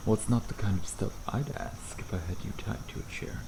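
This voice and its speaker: Man playing a low-voiced teasing vampire, crickets behind. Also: fairly quick, creaky. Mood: playful, sardonic. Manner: sardonic, playful banter with pet-name teasing. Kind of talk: ASMR roleplay acting, addressing the listener. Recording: noisy outdoor ambience with crickets.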